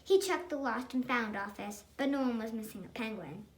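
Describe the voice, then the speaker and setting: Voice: medium pitch, fairly quick. A child reading a picture book aloud expressively, with chatty asides. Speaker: storybook reader.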